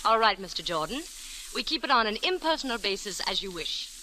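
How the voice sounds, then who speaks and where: Alluring female spymaster, poised and formal, lively sharp voice, vintage radio thriller. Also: medium pitch, a little fast, poor audio. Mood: coolly businesslike. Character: alluring, commanding female foreign intrigue ringleader. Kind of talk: old radio drama acting. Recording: hissy old-time radio recording.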